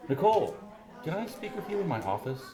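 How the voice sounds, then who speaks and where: An actor playing a soothing, paternal school head in an audio drama. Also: male voice, high-pitched, big pitch swings, brisk, a crowd in the background. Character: middle-aged male school head, falsely kindly. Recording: echoey room.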